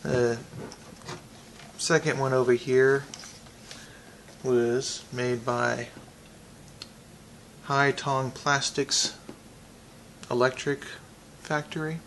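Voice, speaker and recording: Gravelly man giving a casual product review. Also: mid-range voice, steady tone, very slow, long pauses, fairly bright tone, a little hiss or hum.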